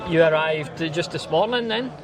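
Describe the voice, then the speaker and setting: A man's voice: a little high, moderate pace, crowd noise. A man, chatting casually as a podcast host and interviewer. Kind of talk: casual chat.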